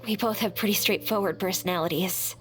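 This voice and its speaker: A woman voicing a straightforward, calmly optimistic townswoman in a video game. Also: medium pitch, fairly quick, faint music behind, lightly acted, rough recording. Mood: upbeat.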